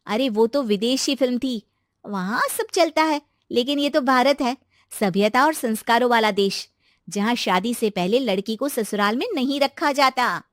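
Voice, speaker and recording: A woman narrating a cartoon moral story in a steady storytelling manner. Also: fairly high pitch, normal speed, no echo, clean studio sound.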